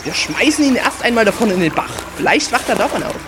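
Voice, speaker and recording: A man's voice: sits high, very animated, fast talker, background noise. A man acting in scripted amateur audio drama dialogue. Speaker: cast member playing himself in audio play. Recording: music behind the voice.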